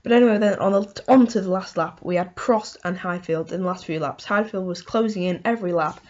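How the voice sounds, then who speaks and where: A woman with a hoarse voice from a cold, hosting a casual motorsport race review and rambling through it with lots of "um" and "you know". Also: on the low side, fairly quick, darkish tone, dull audio. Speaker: motorsport video host.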